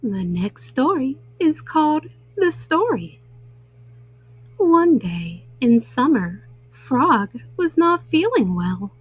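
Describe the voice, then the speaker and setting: A woman telling bedtime stories to small children in a gentle manner, as a storyteller. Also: sits high, sing-song, takes it slowly, husky, no room sound, low-quality recording.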